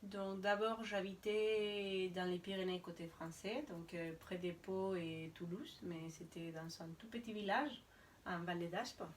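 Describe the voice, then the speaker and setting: A woman's voice: mid-range voice, steady tone, unhurried, far from the mic. A woman in her thirties from Spain answers interview questions in a chatty, casual way with lots of hesitation fillers. She sits a bit back from the mic, with faint ambient noise behind her. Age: 30s. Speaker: interview guest. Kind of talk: casual conversation.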